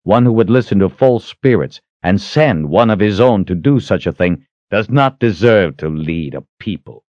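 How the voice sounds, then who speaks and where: A man's voice: fairly low pitch, animated, normal speed, hardly any pauses, darkish tone, no room sound, lo-fi sound, pitched above the performer's own voice, close to an everyday voice. A man voicing a cold, defiant fantasy hero in an audiobook, taunting and intense. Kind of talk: dramatic audiobook character acting.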